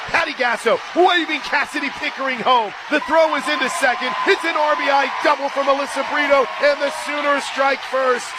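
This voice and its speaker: A man calling a live softball game on radio, play by play, brisk and rising to excited shouts on big plays. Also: very high-pitched, background noise. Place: stadium radio booth.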